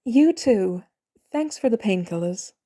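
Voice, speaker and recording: A woman voicing a kindly, soft-hearted woman in a story reading, gentle and friendly. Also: medium pitch, lively intonation, measured pace. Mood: grateful.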